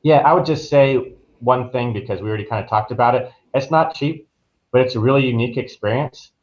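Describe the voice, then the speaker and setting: A man's voice: medium pitch, fairly quick, highs cut off. A man giving casual, easygoing, anecdotal answers as an interview guest. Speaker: travel agent, interview guest.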